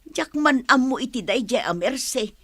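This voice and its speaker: A woman's voice: high voice, animated, a little slow, fairly bright tone, dry recording, studio quality, heavily acted. A woman acting a motherly, reassuring middle-aged landlady in an audio drama, speaking conversationally with a Filipino Ilocano accent.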